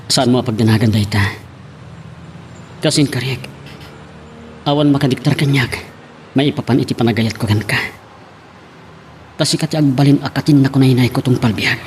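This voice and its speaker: A man's voice: mid-range voice, normal speed, long pauses, close to an everyday voice. A man acting a defiant, devoted young son in love, in an Ilocano romantic radio drama with faint noise behind him. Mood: devoted, reassuring.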